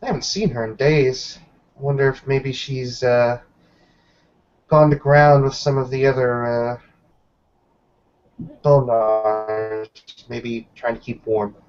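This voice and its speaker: Man roleplaying thoughtful loner werewolf: evasive, measured, hesitant, medium pitch, lo-fi game-session recording. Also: level tone, a little mellow, faint music behind, natural voice. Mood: musing. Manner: halting, measured, musing. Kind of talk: improvised tabletop roleplay dialogue. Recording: dull lo-fi voice recording.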